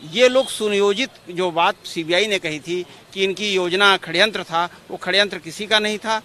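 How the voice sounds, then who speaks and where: Male advocate, high-pitched and formal, explaining to press outdoors over faint traffic noise. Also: normal speed, pushed and bright. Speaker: lawyer, interviewee. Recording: outdoor street noise behind.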